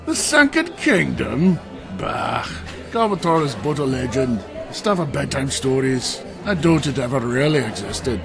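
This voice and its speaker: A man's voice: mid-range voice, big pitch swings, measured pace, vocal fry. A man performs a skeptical dwarf in a fantasy audio drama. He is blunt and dismissive, scoffing at old legends, with music underneath. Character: gruff, skeptical male dwarf adventurer.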